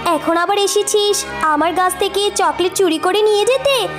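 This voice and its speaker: A woman gives voice to an enchanted tree in a cartoon fairy tale. It speaks in a stern, threatening way at an extremely high pitch. Music plays behind it. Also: moderate pace. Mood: angry threat. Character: stern talking magic tree punishing greed.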